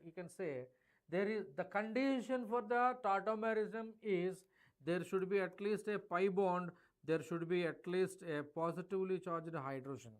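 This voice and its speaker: High-voiced Indian chemistry teacher lecturing. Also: male voice. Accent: Indian English. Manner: emphatic, addresses students directly, mixes in Hindi phrases. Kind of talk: live online exam revision lecture.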